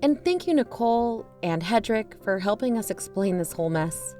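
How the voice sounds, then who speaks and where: A woman's voice: medium pitch, lively intonation, moderate pace, mellow, close to an everyday voice. A woman playing a level-headed, reassuring podcast co-host in a conversational audio drama. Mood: sincere. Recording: music under the voice.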